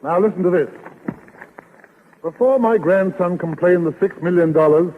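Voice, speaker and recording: High-voiced, fussy lawyer played by a man, old radio. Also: normal speed, a little hiss or hum, lightly acted. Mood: earnest. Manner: earnest, careful, formal. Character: earnest, fussy male lawyer breaking news gently. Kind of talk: 1930s radio comedy acting. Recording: muffled old 1930s radio recording.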